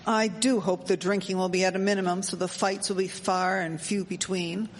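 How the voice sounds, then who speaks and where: A wry, outspoken 1870s townswoman played by a woman talks in formal period phrases. Her mid-pitched voice rings in a long echo with faint noise behind. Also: little pitch movement, normal speed, natural voice. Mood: pleasant, chatty. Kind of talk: scripted period radio drama. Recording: echoey hall, faint background noise.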